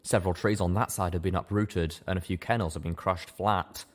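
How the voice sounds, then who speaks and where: A man's voice: low voice, fairly even intonation, fairly quick. A man narrating a scripted adventure story in a dramatic reading style.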